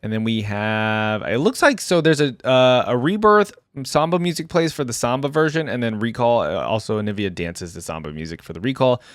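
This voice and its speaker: A man's voice: mid-range voice, lively intonation, fairly quick, few pauses, no echo, clean studio sound. A man giving casual reaction commentary as the host of an online video, talking informally to his viewers.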